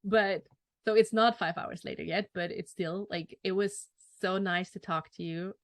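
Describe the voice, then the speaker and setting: A woman's voice: on the low side, steady tone, moderate pace, no room sound, clean studio sound. A woman hosting a podcast interview, affirming her guest often and chatting casually. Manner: warm affirming, frequent "mm-hmm" and "I love that". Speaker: podcast host.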